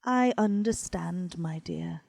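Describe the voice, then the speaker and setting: A woman playing a composed, kindly, authoritative older madame in an audio drama, with formal, measured phrasing. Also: lowish voice, creaky, a little mellow, no room sound, studio quality, exaggerated voice. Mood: softened, understanding.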